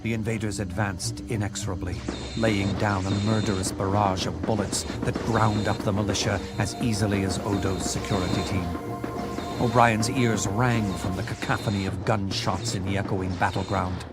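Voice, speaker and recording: A low-voiced man narrates an audiobook in a measured, dramatic way. Loud music plays behind him throughout. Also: steady tone.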